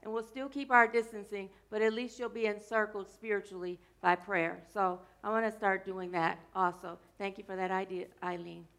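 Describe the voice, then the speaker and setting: A woman's voice: medium pitch, level tone, normal speed, hardly any pauses, fairly bright tone. Playful woman minister, scratchy voice. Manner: rambling, playful, drifts off topic mid-sentence. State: scratchy, throat-clearing voice. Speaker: minister of a church. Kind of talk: casual sermon to a congregation.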